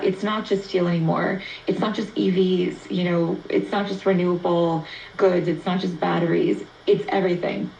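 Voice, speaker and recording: A woman's voice: on the low side, vocal fry, darkish tone, reverberant, recorded from a distance, muffled recording, a little hiss or hum. A woman reporter explaining in a radio interview, heard through an AM receiver playing in a room. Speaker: economics reporter. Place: played through a shortwave receiver speaker.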